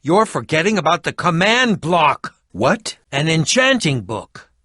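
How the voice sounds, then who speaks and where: An actor playing an eccentric middle-aged schemer in a video game, with a dramatic, haughty and defensive manner. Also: male voice, on the high side, big pitch swings, measured pace, bright, forceful tone, no room sound, studio quality, exaggerated voice. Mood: scheming, explanatory. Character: eccentric theatrical middle-aged male potion-brewing schemer. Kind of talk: video game character dialogue.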